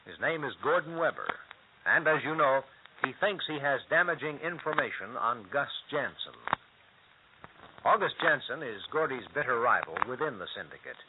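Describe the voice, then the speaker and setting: A man narrating a 1940s radio drama in a measured storytelling way. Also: medium pitch, big pitch swings. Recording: tinny old radio broadcast with hiss.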